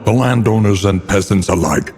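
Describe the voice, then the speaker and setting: A man's voice: on the low side, moderate pace, slight background noise, natural voice. An actor playing a grim, brooding male narrator of a doomed world in a video game, speaking in grave, ominous, theatrical gothic prose. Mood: dark, macabre. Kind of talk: dramatic scripted gothic narration. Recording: long cavernous reverb.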